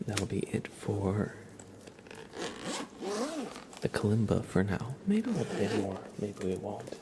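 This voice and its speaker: A man with a congested, stuffy nose chats casually with viewers as an ASMR livestream host, hushed and often whispery. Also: lowish voice, big pitch swings, slow pace, noisy background.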